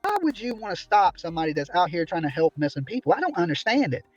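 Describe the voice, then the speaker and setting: A man's voice: high voice, big pitch swings, fast, dry recording, highs cut off. A man ranting indignantly on a livestream from a small room, repeatedly asking "right?" as he complains. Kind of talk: heated livestream commentary.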